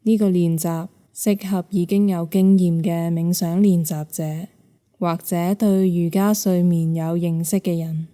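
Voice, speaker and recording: A woman with a Hong Kong Cantonese accent guides a yoga nidra meditation in a soft, measured, soothing way, leaving long pauses. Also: fairly low pitch, steady tone.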